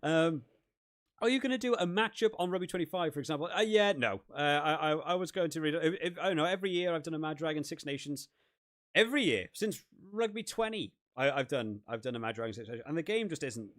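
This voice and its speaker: A man from the UK hosting a rugby gaming live stream, chatting and rambling with lots of restarts, his voice wearing out and going hoarse. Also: medium pitch, a bit bright. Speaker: rugby gaming channel host. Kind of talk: casual live stream chat.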